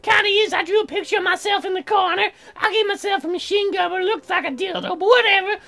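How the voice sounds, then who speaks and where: Woman doing a squeaky-high ditzy townswoman. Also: fast talker, bright, forceful tone, heavily acted. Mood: snippy, bored. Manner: airheaded, rambling, full of "like". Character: ditzy, crude, oversharing young townswoman. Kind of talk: comic visual novel voice acting.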